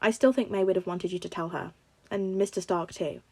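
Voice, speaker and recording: A woman's voice: fairly low pitch, fairly quick, mellow, breathy edge. A woman voicing a frank, supportive teenage boy with a casual, insistent manner in a story reading.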